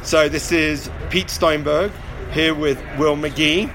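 A man interviewing a rugby player in a casual way, halting with frequent ums. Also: on the high side, fairly even intonation, background noise.

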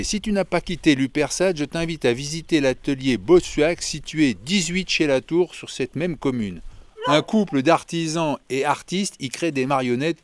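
A man's voice: fairly high pitch, no room sound. A man hosting a podcast, asking questions in a casual interview style. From England. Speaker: travel podcast host and interviewer.

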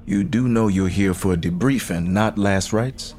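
Actor as a low-voiced, dry police officer. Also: male voice, moderate pace, darkish tone, slight background noise, lightly acted. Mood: stern, matter-of-fact. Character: dry, by-the-book male police debriefing officer. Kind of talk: audio fiction acting.

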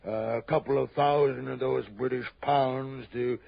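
A male actor playing a gross, wheezing rich man in an old radio drama, halting and blustering as he repeats himself. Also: medium pitch, fairly even intonation, gravelly, breathy edge, muffled recording. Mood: boastful. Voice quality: wheezy, labored breathing. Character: gross, wheezing, frightened rich fat tycoon. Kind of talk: 1960s radio drama acting. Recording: band-limited old radio recording.

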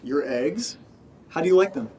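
A man's voice: on the high side, animated, moderate pace, darkish tone, a little hiss or hum, close to an everyday voice. An actor as a chirpy guy in an audio drama, breezy and oddly carefree. He talks like an easygoing housemate. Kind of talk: audio drama acting, naturalistic.

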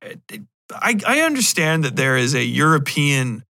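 A man's voice: fairly high pitch, sing-song, moderate pace, vocal fry, sharp tone, no room sound. An American man hosting a casual solo podcast, rambling through a review in a blunt, irreverent, profane way. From United States. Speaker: podcast host.

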